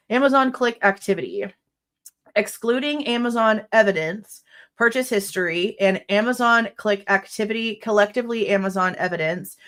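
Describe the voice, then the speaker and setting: Stuffed-up young female host, mid-pitched, reads legal filings with exasperated commentary. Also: a bit bright. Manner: exasperated, blunt asides with mild swearing. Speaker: true crime livestream host. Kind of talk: reading a legal brief aloud with commentary.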